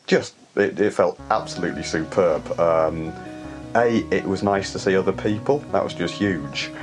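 A man's voice: low-pitched, moderate pace, faint music behind. A middle-aged man from the UK, an alpinist, telling climbing stories in a hesitant, reflective way with frequent restarts. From United Kingdom. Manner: hesitant, many restarts and soft laughs. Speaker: alpinist guest. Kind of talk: reflective conversational storytelling.

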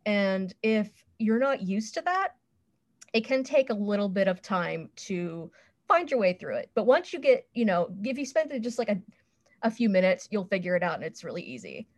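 Frank woman chatting casually, medium pitch. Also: very animated, normal speed. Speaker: podcast panelist reviewing a website. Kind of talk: casual review chat.

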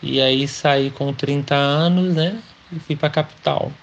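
A man's voice: a little high, steady tone, measured pace, vocal fry. A man in his forties from Brazil, telling his life story in an interview, chatty, often ending sentences with a questioning tag. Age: 40s. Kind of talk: slow personal storytelling. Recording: slightly dull recording with faint background noise.

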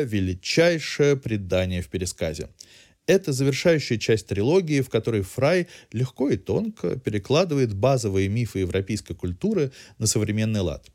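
A man's voice: mid-range voice, a little mellow. A man hosting a podcast, reading a scripted cultural review in an articulate, literary way. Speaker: podcast host. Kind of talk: scripted cultural news narration.

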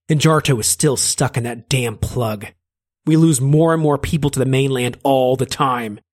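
A man's voice: mid-range voice, lightly acted. A man doing a mocking, scarred demon-man. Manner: teasing, sarcastic.

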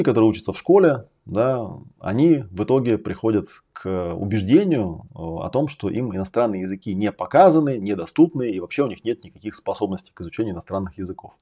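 A man's voice: mid-range voice, mellow. A man hosting a live stream, lecturing in a casual, emphatic way, categorical and often swearing. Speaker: host and language-learning coach.

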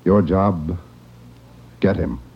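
A man's voice: sits low, fairly even intonation, drawn-out words. A man narrating a radio drama opening in grave, punchy phrases, in dramatic 1940s announcing style. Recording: dull old radio recording, music behind.

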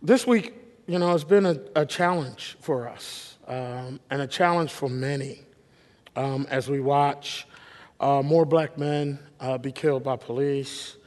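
A man preaching a sermon as pastor, halting and reflective with many restarts, his voice a little worn. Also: medium pitch, darkish tone. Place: church sanctuary. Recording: long hall reverb.

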